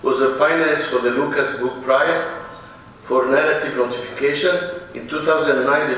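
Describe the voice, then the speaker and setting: A man introducing a guest speaker in a halting, lightly joking way. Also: medium pitch, a bit bright, a little hiss or hum. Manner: halting, many fillers and restarts, joking. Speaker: event host introducing speaker. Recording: echoey room from far off.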